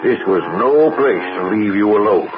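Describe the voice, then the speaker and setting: Man acting a low-voiced, courteous ranch owner. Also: expressive, normal speed, close to an everyday voice. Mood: careful, probing. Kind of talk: old-time radio drama acting. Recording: noisy, dull old radio broadcast.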